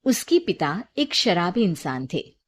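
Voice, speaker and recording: A woman's voice: fairly low pitch, big pitch swings, measured pace. A woman storyteller reading a scripted moral tale as narration.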